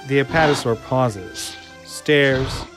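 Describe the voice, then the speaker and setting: A man's voice: mid-range voice, slow, music in the background. Man narrating stage directions. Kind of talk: scripted narration of an audio drama.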